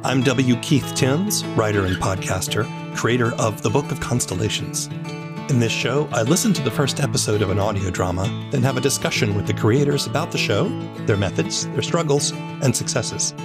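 A man hosting a podcast, reading a measured scripted intro and asking interview questions. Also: mid-range voice, animated, over loud music. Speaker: podcast host.

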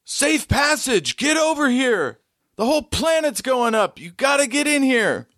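A man shouting as a brash swordswoman pilot. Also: sits high, very animated, a little slow, no echo, studio quality, voice pushed up, heavily acted. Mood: urgent. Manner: urgent shouting.

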